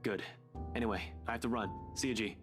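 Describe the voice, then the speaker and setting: A woman's voice: rumbling low, fast, voice pushed down. A woman voicing a serious young man who gives firm warnings. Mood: stern. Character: stern serious young man, protective detective type. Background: game music.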